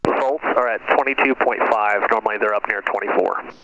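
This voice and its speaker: A man's voice: on the low side, brisk. An American man flying a small plane, talking through each step informally and making radio calls over an aviation headset. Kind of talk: cockpit talk and radio calls. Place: inside a small plane cockpit. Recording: dull cockpit intercom with engine noise.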